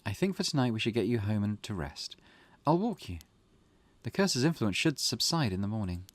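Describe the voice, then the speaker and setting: A man voicing a cautious, protective teenage schoolgirl who explains things in a measured, earnest way in a visual novel. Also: on the low side, sing-song, no room sound. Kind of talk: character voice reading a visual novel. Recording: music in the background.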